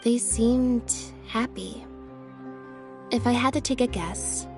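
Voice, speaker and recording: A woman playing a composed, devoted young woman in a video game, speaking calmly and deliberately. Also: fairly high pitch, soft background music. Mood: gentle, wistful. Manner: calm, deliberate. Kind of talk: dramatic video game dialogue.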